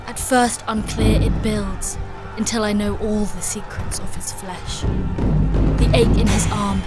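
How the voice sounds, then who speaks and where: A woman playing a fierce, devout warrior knight in a dark fantasy audio drama, narrating with solemn, deliberate intensity. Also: medium pitch, steady tone, lightly acted. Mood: grim, menacing. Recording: voice over loud dramatic music.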